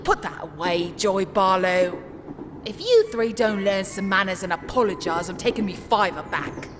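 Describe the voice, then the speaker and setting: A woman's voice: medium pitch, animated, moderate pace, faint music behind, close to an everyday voice. A woman voicing an ancient, cantankerous London street woman who scolds and mutters in a comic dub, with a London accent. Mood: scolding. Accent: British, London. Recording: clearly noisy background.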